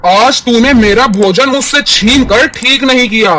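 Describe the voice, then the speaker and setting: A man voicing a menacing, vengeful man-eating demon, threatening and deliberate, in a cartoon fairy tale. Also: extremely high pitch, sharp tone, full character voice. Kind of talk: animated fairy-tale cartoon villain acting.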